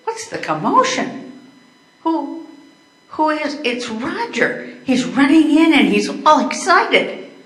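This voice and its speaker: An older woman reads a teasing wife in a stage play. She speaks slowly with swinging, lively intonation. The room sounds echoey. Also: sits high, exaggerated voice. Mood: wry. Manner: dry, teasing. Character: wry, long-suffering wife on holiday. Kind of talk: amateur readers theater.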